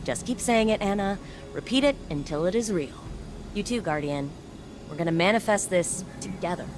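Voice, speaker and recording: A woman's voice: fairly low pitch, expressive, moderate pace, lightly acted. An actress playing a wry, upbeat young woman engineer who chats casually and cheers herself on. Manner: wry, chatty, self-encouraging. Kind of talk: video game cutscene dialogue.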